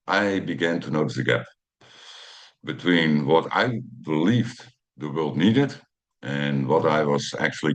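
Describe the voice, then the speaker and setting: A man in his fifties with a Dutch accent and an extremely low, deep voice. He talks English as a second language, slowly building a personal story with frequent ums and uhs as he checks his notes. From Netherlands. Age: 50s. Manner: hesitant, many ums and uhs, reads from notes. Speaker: channel host.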